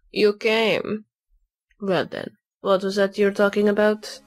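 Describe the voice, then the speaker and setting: A woman voicing a cool, condescending female blackmailer with a deliberate, taunting delivery in an amateur game fandub. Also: mid-range voice, level tone, lightly acted. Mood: cool, aloof.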